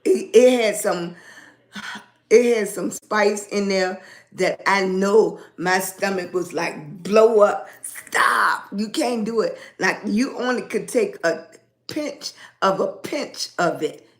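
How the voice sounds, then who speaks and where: Woman, raspy voice, unhurried livestream host, echoey room sound. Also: mid-range voice. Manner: greets viewers by name, rambling and animated. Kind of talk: casual livestream chat with viewers.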